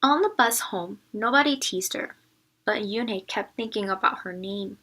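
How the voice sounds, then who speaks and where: A woman teacher reading a picture book aloud, narrating and pausing to explain it to young listeners. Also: mid-range voice, fairly bright tone.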